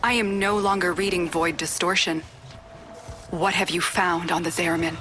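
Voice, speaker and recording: A woman playing a calm, clinical mission operator in a video game, with measured and formal delivery. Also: on the low side, faint music behind, natural voice. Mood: calm, solemn. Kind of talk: video game dramatic acting.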